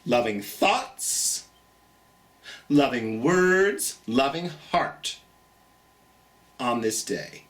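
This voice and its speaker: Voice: mid-range voice, lively intonation, drawn-out words, pauses often, far from the mic. A children's storytime host with a theatrical, singsong manner, speaking encouragingly and directly to young listeners.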